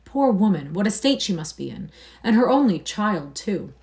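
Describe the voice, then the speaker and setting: A woman's voice: mid-range voice, very animated, normal speed, darkish tone. A woman voicing a grave, pained male painter in an audiobook-style reading, earnest and reproachful. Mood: distressed pleading.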